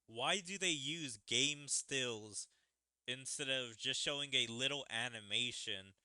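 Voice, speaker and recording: A man's voice: medium pitch, slow pace, pushed and bright, clear, resonant tone, no echo, clean studio sound. A man streaming a video game, giving casual running commentary and speculating aloud as he plays. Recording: game music behind. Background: video game music.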